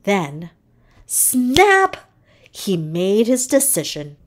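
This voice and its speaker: A woman reading a children's storybook aloud as narrator, with dramatic, deliberate phrasing. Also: mid-range voice, wildly swooping pitch.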